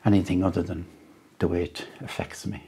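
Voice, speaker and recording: An Irish musician and storyteller giving reflective interview answers at home, speaking slowly and thoughtfully, with frequent pauses and restarts. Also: male voice, low voice, level tone, gravelly, mellow, a little hiss or hum. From Ireland. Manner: slow, thoughtful, halting with many restarts.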